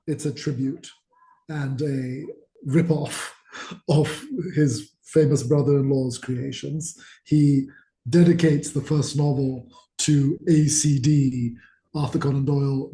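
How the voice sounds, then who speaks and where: A man's voice: mid-range voice, warm tone. A middle-aged man from Malaysia, an author talking as an interview guest, telling his stories with enthusiasm. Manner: enthusiastic, storytelling. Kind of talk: conversational interview. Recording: roomy echo.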